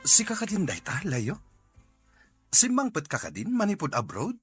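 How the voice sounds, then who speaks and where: A man's voice: fairly high pitch, huge pitch swings, normal speed, fairly bright tone, breathy edge, no echo, soft background music, full character voice. A man with a Filipino accent playing a joking, teasing friend in a radio drama. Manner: teasing questions. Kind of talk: Ilocano radio drama acting.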